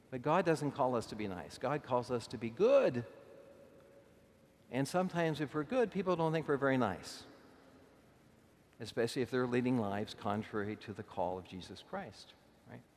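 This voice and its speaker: A middle-aged man, a bishop, preaching a sermon in a conversational way, slowing down to stress key words. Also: medium pitch, a little mellow. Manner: conversational preaching, slow deliberate emphasis. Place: church. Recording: long church reverb.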